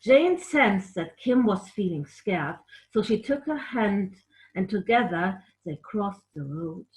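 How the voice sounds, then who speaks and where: Slow-paced woman telling a children's story. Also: medium pitch, poor audio. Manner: animated, explanatory, makes playful sound effects. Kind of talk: expressive storytelling with playful asides to a bear puppet.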